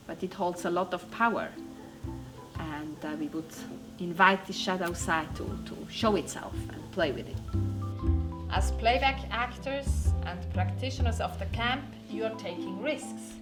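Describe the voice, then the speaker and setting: A woman giving reflective interview-style talk as a theatre practitioner. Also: on the low side. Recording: clear music bed underneath.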